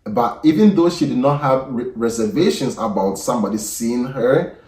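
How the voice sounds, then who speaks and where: A man hosting an exam prep channel, giving unscripted study advice in a measured way with frequent fillers and restarts. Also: medium pitch, lively intonation, darkish tone. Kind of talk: unscripted advice talk to camera. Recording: echoey room, voice from a distance.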